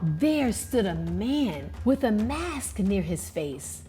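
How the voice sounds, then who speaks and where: A woman from New York hosting a read-aloud, narrating a children's storybook in a deliberate, expressive way. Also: medium pitch, soft background music.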